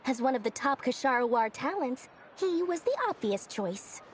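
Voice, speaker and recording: High, breezy merchant businesswoman. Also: big pitch swings, normal speed, a little hiss or hum. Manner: breezy, self-assured, casually evasive. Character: shrewd, playful young businesswoman and wealthy merchant. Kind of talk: video game story dialogue acting. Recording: music behind the voice.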